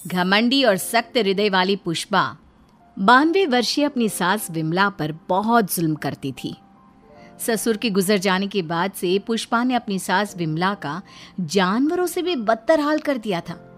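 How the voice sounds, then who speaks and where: A woman's voice: medium pitch, lively intonation, measured pace, fairly bright tone. A woman storyteller giving a scripted narration of a moral tale. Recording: music under the voice.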